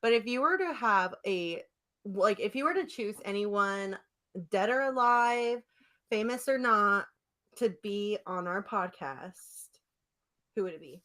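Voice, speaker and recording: A woman with a mid-pitched voice co-hosts a podcast as if chatting with a close friend. She is animated and emphatic, leaning on slang, with a giggly, informal way of talking. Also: unhurried. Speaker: podcast co-host. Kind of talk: casual banter between friends.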